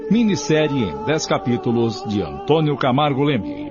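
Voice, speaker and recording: A man's voice: mid-range voice, huge pitch swings, moderate pace. A man with a Brazilian accent announcing the title of a radio drama. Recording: dull lo-fi, loud music behind. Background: loud music.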